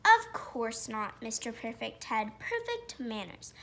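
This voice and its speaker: Woman, children's story reader, unhurried delivery, bright tone, pauses for pictures and questions. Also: on the high side, big pitch swings, creaky. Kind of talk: reading a children's picture book aloud.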